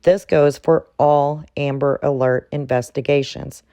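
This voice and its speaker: Woman, low-pitched, mellow tone, formal scripted commentary, measured wording, dry close recording. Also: fairly even intonation, dry recording.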